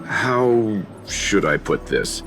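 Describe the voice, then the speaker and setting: Reserved game gentleman, very low voice, slow, measured, polite, thoughtful. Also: big pitch swings, over music, exaggerated voice. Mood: hesitant, apologetic. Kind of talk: video game character acting.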